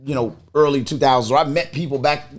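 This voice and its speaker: A middle-aged man swapping stories on a casual podcast, talking in slang with heavy swearing and animated delivery. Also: mid-range voice, fast. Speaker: podcast host.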